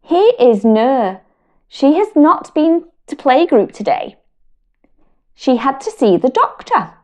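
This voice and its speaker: A British woman reading a picture book aloud to children in a gentle, expressive way, rising high on her questions. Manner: slow, gentle and expressive, with big pitch lifts on questions. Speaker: storyteller and wellbeing educator.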